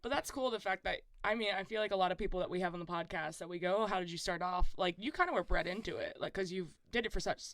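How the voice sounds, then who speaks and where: A woman's voice: lowish voice, fast, few pauses, a bit bright, no room sound. A woman in her twenties from Miami, co-hosting a podcast, chatting in a bubbly way with lots of "like.". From Miami, United States. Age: 20s. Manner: bubbly, heavy use of "like". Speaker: podcast co-host. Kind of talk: casual chat.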